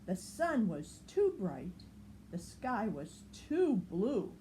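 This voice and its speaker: An older woman reads a picture book aloud for children. Her pace is slow and her pitch moves in an animated way. There is some noise in the background. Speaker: grandmother reading a picture book. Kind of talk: storybook read-aloud for children.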